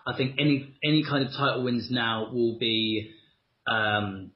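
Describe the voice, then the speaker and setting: Animated British man chatting wrestling. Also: medium pitch, a little slow, muffled recording. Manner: animated, laughing storyteller. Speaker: wrestling podcast co-host. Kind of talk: casual chat.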